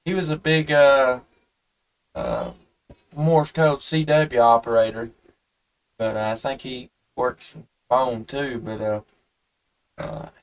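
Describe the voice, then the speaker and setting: A man chatting casually and haltingly as an amateur radio operator. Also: medium pitch, expressive, distant mic. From United States. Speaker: amateur radio operator hosting a live stream.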